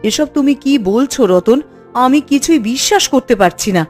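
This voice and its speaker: A woman voicing a caring, anxious village wife in a cartoon folk tale, speaking with expressive concern. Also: high voice, moderate pace, faint music behind. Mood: dismayed, anxious. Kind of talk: cartoon fairy-tale dialogue.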